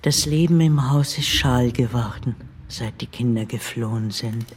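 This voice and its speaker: An actress playing the tender ghost of an older nanny and servant woman in a radio play, hushed and deliberate. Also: very deep voice, slight background noise. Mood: wistful, hushed. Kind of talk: German radio play narration.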